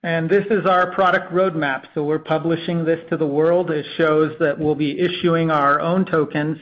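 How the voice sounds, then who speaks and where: A man's voice: a little high, fairly even intonation, normal speed, highs cut off. A man presenting business plans as a technology executive on an earnings call, with frequent uhs between phrases.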